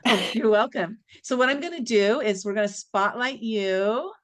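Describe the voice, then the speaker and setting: A woman hosting an online networking event, brisk, upbeat and chatty as she gives tips and runs the open mic. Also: on the high side. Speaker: event host. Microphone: video call.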